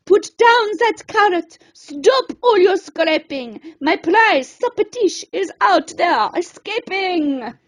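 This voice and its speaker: A woman voicing a loud, exclamatory angry male chef in a children's storybook. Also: very high voice, animated, slow pace, few pauses, pitched above the performer's own voice, full character voice. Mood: urgent shouting. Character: angry male restaurant chef barking at his cooks.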